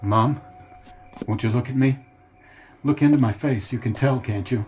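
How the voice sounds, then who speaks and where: A man's voice: medium pitch, a little mellow, a little hiss or hum, natural voice. Actor as desperate, pleading grown man, radio drama. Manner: earnest, imploring, halting. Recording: radio drama with music underneath.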